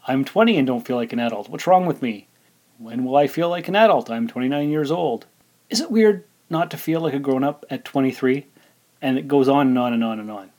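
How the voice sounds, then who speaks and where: A man in his forties hosts a casual solo podcast. His voice sits at an average pitch, and he talks informally, thinking aloud and rambling a little as he goes. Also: a little mellow. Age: 40s. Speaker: podcast host.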